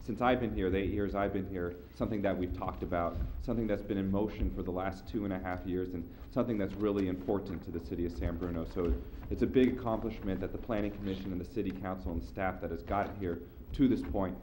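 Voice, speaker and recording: A man's voice: low-pitched, steady tone, warm tone, slight background noise. A man on city staff introducing an item at a public meeting; he is losing his voice from a cold and stumbles over repeated words. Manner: halting, repeats words, upbeat. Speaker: city planning staff member.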